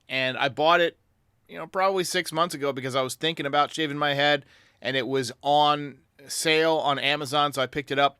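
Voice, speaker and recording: A man's voice: medium pitch, pushed and bright, clear, resonant tone, dry recording, studio quality. A man hosting a sports podcast, giving commentary in reply to fans. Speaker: podcast host. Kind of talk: sports commentary answering fan voicemails.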